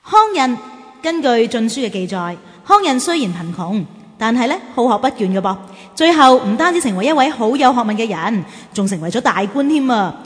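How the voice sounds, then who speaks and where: A woman playing a kindly big-sister guide in a children's radio drama, reassuring, expressive and fond of explaining things. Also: on the high side, a little fast, husky, dry recording. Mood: explanatory.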